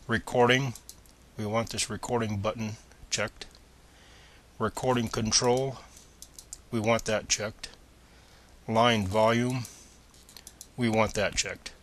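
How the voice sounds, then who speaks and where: A man's voice: lowish voice, steady tone, slow pace, pauses often. A man presenting a step-by-step screencast tutorial in a plain, methodical, instructional manner.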